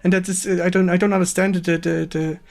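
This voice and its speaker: Creaky-voiced German man hosting a stream. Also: sits high, little pitch movement, a little mellow, faint music behind. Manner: halting, repetitive, searching for English words. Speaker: stream host. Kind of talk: casual interview on a game stream.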